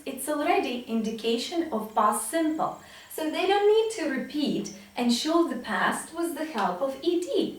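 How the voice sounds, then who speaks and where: A woman in her thirties giving a pronunciation lesson as a teacher, enunciating example words deliberately and emphatically. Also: sits high. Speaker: English teacher. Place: small indoor classroom with whiteboard. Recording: very echoey room, distant microphone.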